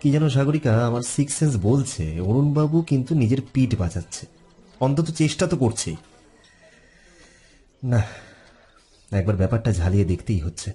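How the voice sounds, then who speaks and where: Man acting shrewd detective, mellow voice, lively delivery. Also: mid-range voice, normal speed, pauses often, husky, faint music behind. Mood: pondering, suspicious. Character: witty food-loving married male detective, shrewd and probing. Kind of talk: Bengali audio detective drama acting.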